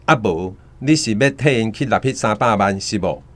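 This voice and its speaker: A man's voice: on the low side, lively intonation, normal speed. An actor playing an anxious, self-protective doctor and father in a radio drama, exclaiming and arguing in a Taiwanese Hokkien accent. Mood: impatient, dismissive.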